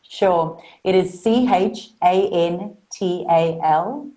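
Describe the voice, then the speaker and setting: A woman with a South African Australian accent talks as a podcast guest about marketing. Her voice sits a little low, and she speaks in an earnest, upbeat, encouraging way. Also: slow pace, dark tone, rough recording. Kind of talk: podcast interview.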